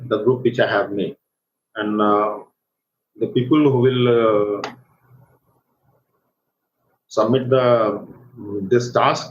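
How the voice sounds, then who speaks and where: A man with an Indian accent gives an informal live software tutorial as an online instructor, speaking in a halting, hesitant way. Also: on the low side. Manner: halting, hesitant delivery with repeated check words. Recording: roomy echo, dull lo-fi microphone, faint noise.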